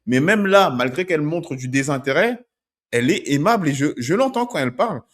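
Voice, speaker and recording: A man's voice: on the high side, animated, a little fast, a bit bright. A man working as a dating coach, talking through video clips in a conversational way and often checking in with "ok?". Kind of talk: commenting on street-approach video clips.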